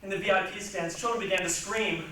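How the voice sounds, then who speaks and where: A woman performing a dramatic stage reading with measured, theatrical storytelling. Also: mid-range voice, fairly even intonation, a bit bright. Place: large echoey room, recorded from a distance. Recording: echoey hall, distant mic, faint noise.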